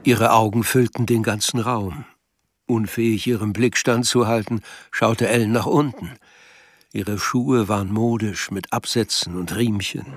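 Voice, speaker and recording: A man's voice: on the low side, steady tone, measured pace. A man narrating a melancholy literary story in an audio drama. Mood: measured narration. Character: male narrator of a melancholy novel.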